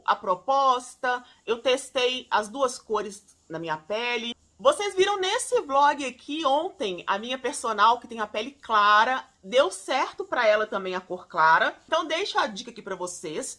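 A woman in her forties chatting to camera from a room at home, recommending skincare products, animated and chatty, calling her viewers "gente". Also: on the high side. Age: 40s. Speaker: beauty vlogger.

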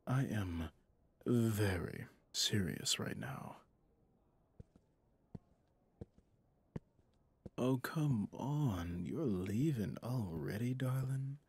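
A man playing a flirtatious, possessive mafia boss in an intimate ASMR roleplay, teasing and menacingly smooth, with a husky, breathy delivery. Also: darkish tone, exaggerated voice. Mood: mocking, menacing. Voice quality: low, husky, often dropping to a whisper. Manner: slow, teasing, intimate, with pet names. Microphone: close studio microphone, dry.

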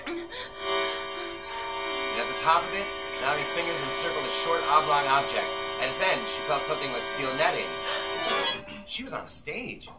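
A man's voice: fairly high pitch, poor audio. A man in his thirties giving an intense, theatrical live reading of a novel as its narrator. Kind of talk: dramatic narration, radio-play style live reading. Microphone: audience camera a few meters away. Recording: live recording with loud music over it. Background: loud violin accompaniment.

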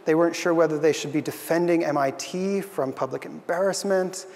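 A man's voice: a little high, fairly even intonation, a little fast, gravelly, darkish tone, long reverb tail. A young man giving an earnest, thoughtful conference talk on a stage, with frequent fillers. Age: young adult. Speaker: conference speaker. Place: stage in a large hall.

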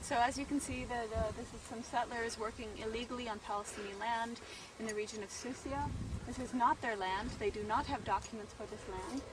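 A woman's voice: on the high side, fairly even intonation, a little fast, heavy background noise. A woman activist explaining to camera outdoors, earnest and insistent. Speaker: activist filming settlers.

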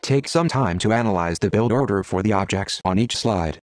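A man walks through a software tutorial one step at a time, in a methodical, explaining manner. His voice sits on the low side. The recording is close and dry, with no room sound. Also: expressive, brisk, no room sound. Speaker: instructor.

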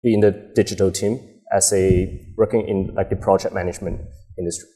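A man's voice: sits low, fairly even intonation, fairly quick, mellow. A man, answering interview questions with hesitant, filler-heavy phrasing on a lapel mic. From Hong Kong. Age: 20s. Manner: hesitant, many fillers and restarts. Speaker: guest, bar co-owner. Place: dim bar set with a host.

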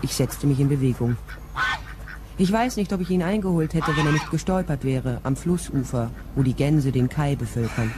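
A woman's voice: low voice, lively intonation, moderate pace. An actress playing a cool, guarded woman private detective in a crime radio drama, wry and matter-of-fact. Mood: wry, reflective.

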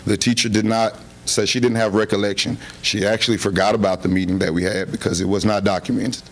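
A man giving public comment as a parent at a board meeting, speaking in a halting, earnest, polite way. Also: on the low side, little pitch movement. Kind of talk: unscripted public address to a board. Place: public meeting room, indoors.

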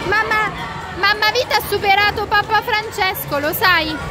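A woman's voice: extremely high pitch, moderate pace. A woman filming a family game on her phone, cheering and giving a playful running commentary as she announces the scores. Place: busy indoor bowling alley. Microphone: handheld phone camera. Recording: loud crowd and music behind.

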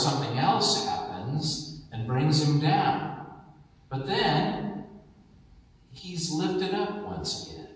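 An American man in his sixties preaching a sermon as a pastor, with measured, deliberate phrasing and pauses. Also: a little high, a bit bright. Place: large church sanctuary, indoors at the altar. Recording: echoey church hall, distant microphone.

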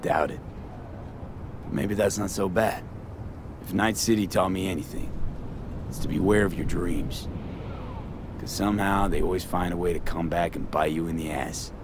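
Weary determined mercenary man, deep low pitch, terse, wry, video game drama, noisy ambience. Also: moderate pace, pauses often. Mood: wistful. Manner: terse, clipped, colloquial. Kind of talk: cinematic video game voice acting. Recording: game ambience with vehicle noise.

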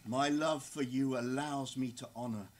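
An actor reading aloud as a tender, adoring, poetic lover writing in the early 1900s. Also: male voice, mid-range voice, steady tone, normal speed, close to an everyday voice. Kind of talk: dramatic reading of a love letter.

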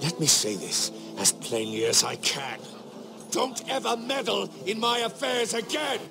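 An actor playing an angry, domineering lord in a fantasy game, scolding forcefully. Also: male voice, high voice, very animated, a little slow, vocal fry, over music, full character voice. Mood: furious. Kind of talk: dramatic fantasy game acting.

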